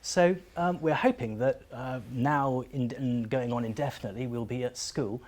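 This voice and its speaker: A male teacher hosts a relaxed interview with his students, speaking in a friendly, conversational way. His pitch sits in the middle, neither low nor high. His talk is dotted with small "uh" fillers as he moves between questions. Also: normal speed. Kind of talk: school promo interview.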